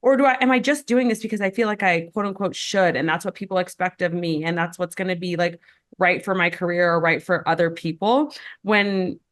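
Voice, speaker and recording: A woman's voice: fairly low pitch, steady tone, brisk, fairly bright tone. A woman hosting a podcast interview, supportive and affirming as she reflects and asks questions. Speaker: podcast host. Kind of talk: casual interview chat.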